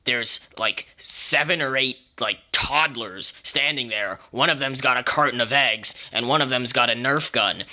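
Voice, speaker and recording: A man's voice: mid-range voice, unhurried, few pauses, sharp tone. A man hosting his own podcast, rambling casually and joking in an in-character persona. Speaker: podcast host.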